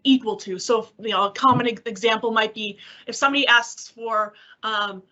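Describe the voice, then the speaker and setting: A woman giving a software demo in a conversational way, explaining things with examples and rhetorical questions over an online meeting. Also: on the high side, moderate pace. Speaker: technical specialist presenting a software demo. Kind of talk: webinar demo and question answering. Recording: dull, lo-fi online call audio.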